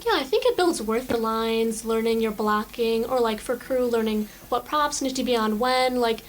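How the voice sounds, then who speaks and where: A woman's voice: fairly high pitch, moderate pace, low-quality recording. A teenage girl, a student actor, talking in a casual, upbeat interview with many fillers. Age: teens.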